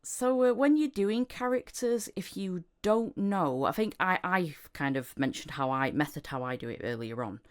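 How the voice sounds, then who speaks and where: A woman hosting a casual interview chat, encouraging and a little halting as she rephrases her questions. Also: fairly low pitch, lively intonation, clear, resonant tone. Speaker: podcast host, voice actor.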